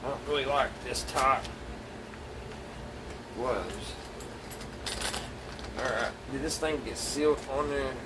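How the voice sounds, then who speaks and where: A man's voice: medium pitch, slow, pauses often. Folksy man, distant noisy recording. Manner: folksy, plainspoken, thinking aloud while working. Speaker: DIY vlogger. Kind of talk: casual hands-on narration to viewers. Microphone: phone camera set away from speaker. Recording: distant mic, noisy with steady background hum.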